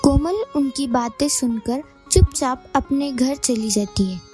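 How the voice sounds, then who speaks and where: A woman's voice: high-pitched, expressive, unhurried, dark tone, faint music behind. A woman narrating a scripted moral bedtime story for children.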